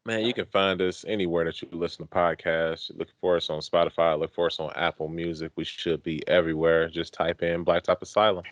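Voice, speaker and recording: A man with a very deep voice talks casually as a podcast co-host. His delivery is conversational and enthusiastic, recorded close and very dry, with little room sound. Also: flat delivery, fairly quick, hardly any pauses, no echo, dull audio. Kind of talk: casual chat.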